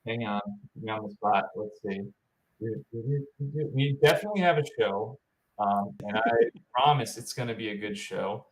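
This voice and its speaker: A man's voice: on the low side, moderate pace, slight background noise. A man chatting casually as a co-host on a podcast. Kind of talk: casual chat. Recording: roomy, echoing sound.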